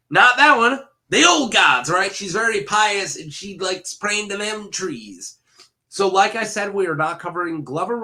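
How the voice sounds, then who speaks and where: Casual lore host, man, bright forceful voice, stuffy head cold, rambles with fillers. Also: on the high side. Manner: rambling, chatty, many fillers and restarts. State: head cold, occasional coughing. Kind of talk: casual lore deep dive.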